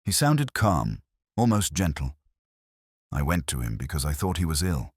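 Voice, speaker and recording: A man narrating a detective story, voicing a reserved woman academic who quietly confesses her guilt. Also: a bass voice, big pitch swings, normal speed, slightly rough, dry recording, clean studio sound, heavily acted. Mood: subdued confession.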